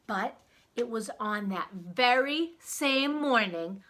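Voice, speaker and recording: A woman reading a story aloud to young children, expressive, with dramatic emphasis and questions to the listener. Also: fairly high pitch, slow.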